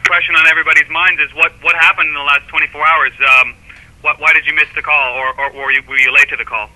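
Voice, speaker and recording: A man with a slightly high voice asks press questions over the phone. He talks quickly and stumbles over repeated words, with a very sharp tone. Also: little pitch movement, a little hiss or hum, poor audio. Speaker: sports reporter asking questions. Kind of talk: press conference-call questioning. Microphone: telephone.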